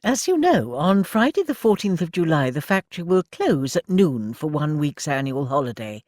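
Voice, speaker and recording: A man's voice: on the high side, very animated, normal speed, a little mellow, no room sound, clean studio sound. A man voicing a refined middle-aged lady who speaks with prim, patronising politeness. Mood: officious. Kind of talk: audiobook character voice.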